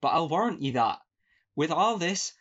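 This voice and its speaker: Guy doing folksy young scottish lad in a radio farce, highish voice, bit muffled. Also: very animated, moderate pace, a bit bright. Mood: cheerful, reassuring. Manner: folksy, earnest, broad dialect. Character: sly, cheerful young Scottish country lad. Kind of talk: theatrical farce radio play acting. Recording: dull lo-fi home recording.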